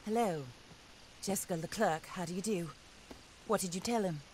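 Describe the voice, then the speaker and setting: A woman's voice: lowish voice, normal speed, warm tone, a little hiss or hum, close to an everyday voice, rough recording. A woman playing a genteel, resolute widow in a western video game, speaking formally with composed, wry politeness. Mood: gracious. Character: genteel, determined widow seeking vengeance, wealthy employer. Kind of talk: dramatic video game acting.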